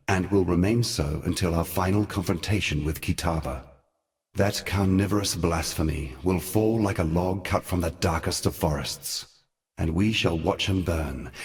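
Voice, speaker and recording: Man voicing a deep, solemn ancient god. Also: natural voice. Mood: grave, resolute. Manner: grave, deliberate, formal and eloquent. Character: ancient weary god, solemn, regretful, cunning male ally. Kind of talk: theatrical fantasy game dialogue.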